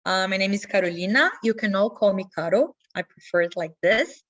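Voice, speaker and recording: A woman's voice: mid-range voice, fairly quick, a bit bright. Young brazilian woman walking a video call thru the agenda, friendly, a bit muffled audio. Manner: friendly, instructive, non-native English with slips. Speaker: webinar host. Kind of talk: online info session presentation.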